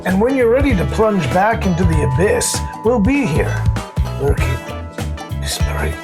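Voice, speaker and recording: A woman's voice: on the low side, sing-song, normal speed. A woman co-hosting a podcast, reading a scripted sign-off in a playful, theatrical manner. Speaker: podcast co-host. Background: music.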